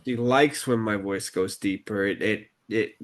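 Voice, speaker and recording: A man with a fairly low voice talks through a home podcast conversation. He deliberately slows his speech, pausing on fillers and restarting sentences as he picks his words. His tone stays relaxed and conversational. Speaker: podcast co-host. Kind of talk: casual conversational podcast talk.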